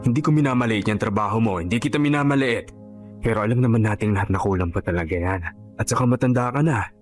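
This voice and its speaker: An actor playing a frank, concerned vendor friend in Filipino radio drama style, blunt, earnest and admonishing. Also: male voice, lowish voice, fast talker, soft background music, lightly acted. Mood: blunt, insistent.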